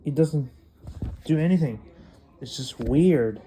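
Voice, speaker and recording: Male unboxing vlogger, slightly high pitch, very slow and halting, casual demonstration style. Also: warm tone, a little hiss or hum. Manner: halting, short phrases, non-standard grammar. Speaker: unboxing video creator. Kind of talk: casual unboxing vlog, talking through a demonstration.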